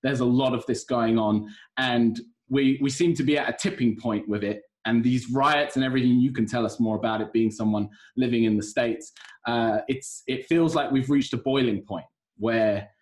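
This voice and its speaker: Thoughtful man, mid-pitched voice. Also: steady tone, a little mellow. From United Kingdom. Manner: measured, thoughtful, hesitant with fillers. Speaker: podcast co-host. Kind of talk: podcast conversation.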